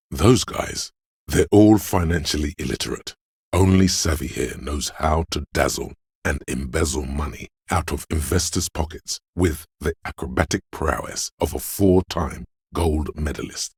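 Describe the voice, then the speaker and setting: A man playing a slick, money-obsessed inner voice in a video game, a cajoling, flamboyant hype man with streetwise swagger and a gravelly delivery. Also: no echo, clean studio sound, exaggerated voice. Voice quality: gravelly, very deep. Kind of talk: theatrical video game character monologue.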